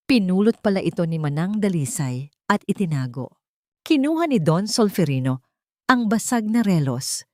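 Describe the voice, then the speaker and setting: Low-pitched Filipino woman narrating a dramatic story. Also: a little mellow, no echo, studio quality.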